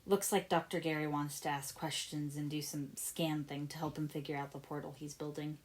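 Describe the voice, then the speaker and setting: A woman's voice: low voice, flat delivery, normal speed, close to an everyday voice. A woman voicing a resolute, reassuring young male hero in a read-aloud story. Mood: casual.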